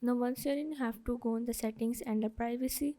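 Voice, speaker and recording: A woman's voice: on the high side, fairly even intonation, brisk, warm tone. A woman presenting a step-by-step how-to tutorial in an instructional, repetitive way. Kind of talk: step-by-step screen tutorial narration.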